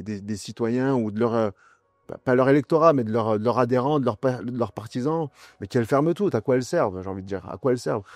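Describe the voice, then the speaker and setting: A young French man answering interview questions, halting and restarting often with fillers. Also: mid-range voice, a little mellow, dry recording. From France. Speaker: guest.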